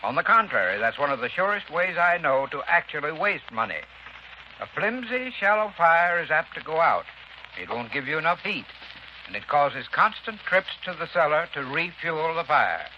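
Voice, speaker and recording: A male sponsor spokesman giving scripted advice in a measured, earnest manner, in a muffled 1930s radio broadcast. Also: fairly high pitch, sing-song, a little hiss or hum.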